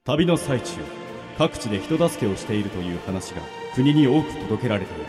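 A man's voice: on the low side, lively intonation, fairly quick. A man playing a dignified, formal king in a comedic radio drama, with heavy reverb on the voice. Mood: solemn. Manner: formal, stately. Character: dignified male king addressing heroes by letter. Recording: reverberant, music behind.

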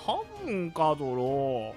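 A woman's voice: a bass voice, very animated, slow, darkish tone, soft background music, heavily acted. A woman playing a lazy male mud monster in a radio drama, grumbling with an oafish verbal tic. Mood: doubtful. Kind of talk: anime-like radio drama acting.

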